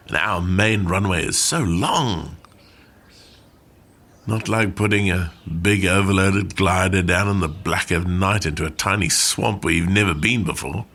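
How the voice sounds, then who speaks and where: An actor in a radio play monologue as an old, plainspoken war veteran and glider pilot, reminiscing conversationally with dry understatement. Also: male voice, sits low, creaky, pushed and bright, heavily acted. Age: older.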